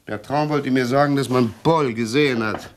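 Actor as a weary husband, mid-pitched voice. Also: male voice, moderate pace, noisy background, lightly acted. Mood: tired, suspicious. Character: weary, talkative, suspicious husband in a crime thriller. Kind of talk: 1960s German radio play acting.

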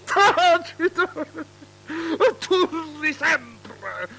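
An actress playing a scornful, irritated queen in an avant-garde radio drama, with drawn-out theatrical delivery, on a noisy recording. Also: high-pitched, big pitch swings, creaky, exaggerated voice. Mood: haughty annoyance.

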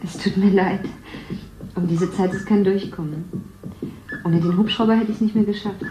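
A flustered, shy young woman, played by a woman in an old radio play, speaking apologetically. She is heard in an echoey room with music clearly audible behind her. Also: mid-range voice, steady tone, moderate pace, lightly acted. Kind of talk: 1970s radio drama acting.

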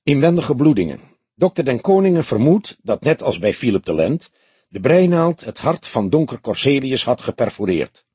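Young male detective sidekick, crime audiobook, done by a male narrator: husky, curious, matter-of-fact, animated intonation. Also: medium pitch, moderate pace, no echo, voice pushed up. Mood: inquisitive. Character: young male police detective, earnest, slightly teasing sidekick. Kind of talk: acted dialogue in an audiobook.

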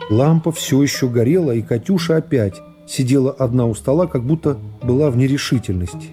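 A middle-aged man narrating a classic novel for radio in a measured, dramatic storytelling manner. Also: mid-range voice, warm tone. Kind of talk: literary narration in a radio play. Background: music.

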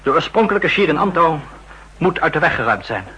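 A man's voice: on the high side, sing-song, fairly quick, bright, forceful tone, breathy edge, exaggerated voice, poor audio. An actor playing an ambitious, loyal young assistant who pleads his case earnestly, in a 1960s radio drama. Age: young adult. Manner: earnest, insistent.

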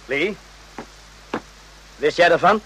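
An actor playing an indignant, defensive soldier in a radio play, forceful, with a raspy, strained voice. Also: male voice, fairly high pitch, expressive, slow, slight background noise, rough recording. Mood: accusing, bewildered.

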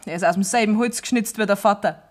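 A woman's voice: mid-range voice, fast talker, close to an everyday voice. An actress playing a scheming, brazen young farm woman in a German radio drama, insolent and self-assured, with a Bavarian accent. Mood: defiant, smug.

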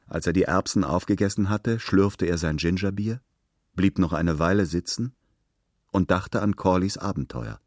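A man's voice: low-pitched, moderate pace, darkish tone, no room sound, studio quality. A man reading literary fiction aloud as a scripted narration.

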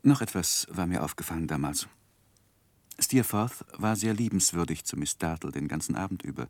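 An actor playing an earnest, reserved young gentleman who recounts his past in a 1960s German radio play, measured and grave. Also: low voice, expressive, dry recording. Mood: grave, reflective.

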